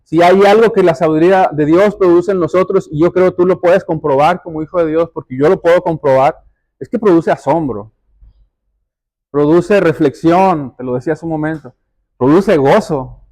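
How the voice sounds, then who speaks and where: A man's voice: on the high side, moderate pace, darkish tone, low-quality recording. A man preaching a sermon, explaining step by step and addressing the congregation directly, with emphatic repetition and rhetorical questions. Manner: emphatic, repeats phrases for stress.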